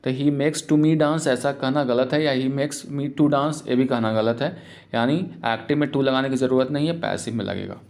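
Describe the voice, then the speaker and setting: A man teaching English grammar for exams, explaining in Hindi and reading English example sentences out slowly. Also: mid-range voice, steady tone, gravelly, darkish tone. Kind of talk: exam-prep grammar lesson.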